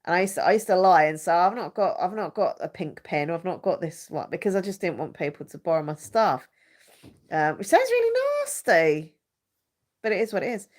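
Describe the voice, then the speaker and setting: A woman's voice: fairly low pitch, very animated, a little fast. A young woman with a blocked-up nose, chatting casually and rambling on a live stream. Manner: chatty and rambling, searches for words, many fillers. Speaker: YouTuber hosting a live chat.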